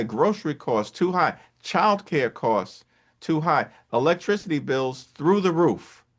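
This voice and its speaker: A man speaking as a congressional leader, delivering a punchy political speech that lists slogans and drops his pitch at the end of phrases.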